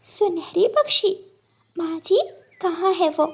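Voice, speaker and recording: Woman as a small cartoon bird, very high, kind, polite, curious, unhurried. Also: exaggerated voice.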